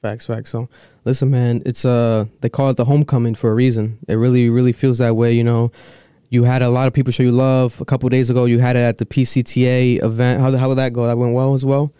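A man hosting a casual interview, hyping up his guest with an animated, laughing delivery. His voice is mellow and smooth, recorded dry and close. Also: mid-range voice, quick pace, few pauses, no echo. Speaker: podcast host.

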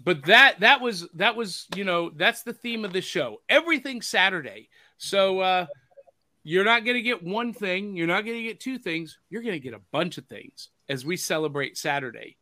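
A man's voice: sits high, expressive, moderate pace, bright, forceful tone, no echo. A man hosting a pop culture podcast, upbeat and jokey in casual chat. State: hoarse from overuse. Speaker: podcast host.

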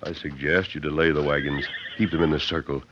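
Terse, commanding western hero on noisy old radio. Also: male voice, very deep voice, fairly even intonation, a little fast, highs cut off. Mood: grave, authoritative. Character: masked frontier hero posing as a drawling, rough drifter. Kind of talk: 1940s-50s western radio acting.

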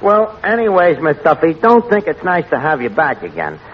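A man's voice: on the high side, wildly swooping pitch, normal speed. An actor playing a wisecracking, scheming New York tavern manager who mangles his grammar in a 1940s radio comedy. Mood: sardonic, teasing. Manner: streetwise, mangled grammar, sardonic. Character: wisecracking, scheming lowbrow tavern manager, malapropisms, big ideas. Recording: muffled old radio recording, audience, noisy.

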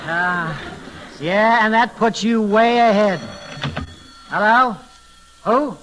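High-voiced slangy radio hustler. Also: male voice, takes it slowly, a bit bright, muffled recording, a little hiss or hum. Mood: affable, wary. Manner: slangy wise guy, streetwise. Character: slangy, fast-talking wrestling promoter and card hustler. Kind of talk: 1940s radio comedy acting.

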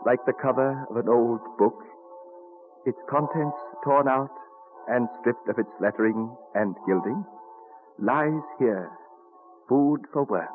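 A man's voice: medium pitch, lightly acted. An actor playing an elderly American statesman, weary and dryly witty, in an old radio drama style. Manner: deliberate, musing, dryly witty. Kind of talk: 1940s radio acting. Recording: tinny old radio recording, music behind.

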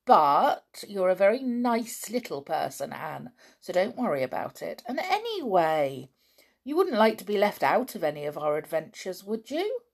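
A calm, sensible schoolboy takes charge of the group, as a woman reads him in a storybook. His voice sits higher than the narration, firm and quietly commanding. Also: moderate pace. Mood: kind.

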